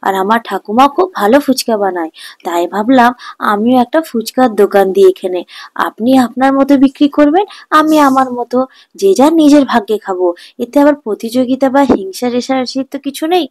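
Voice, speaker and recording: A woman's voice: on the high side, brisk, lightly acted. A woman voicing a polite, trusting young man who runs a food stall in a children's cartoon, earnest and mild. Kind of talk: Bengali children's cartoon dubbing.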